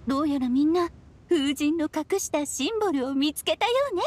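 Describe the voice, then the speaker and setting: A woman's voice: high voice, a little fast, a little mellow, a little hiss or hum, lightly acted. A woman playing a bubbly, whimsical mother in an anime game, chatty, girlish and breezy. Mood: chatty, playful. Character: bubbly, playful adult mother, whimsical adventurer. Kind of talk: Japanese anime game acting.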